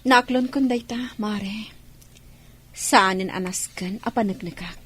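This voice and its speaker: A woman's voice: medium pitch, slow pace, fairly bright tone, slightly rough. A woman playing a resigned, long-suffering wife and mother in an Ilocano audio drama, with an Ilocano Filipino accent. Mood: weary.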